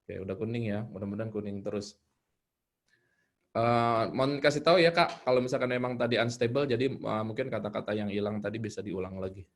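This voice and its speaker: A man with a fairly low voice speaks casually as a guest storyteller in an online call. His manner is informal and hesitant, and he often searches for the right words. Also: fairly even intonation, brisk. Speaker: guest storyteller sharing tips. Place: online live video call.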